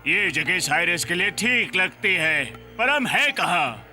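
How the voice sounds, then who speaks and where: An actor voicing a haughty, fastidious, complaining pharaoh in a cartoon dub. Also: male voice, high voice, very animated, bright, forceful tone, faint music behind, heavily acted. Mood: disdainful.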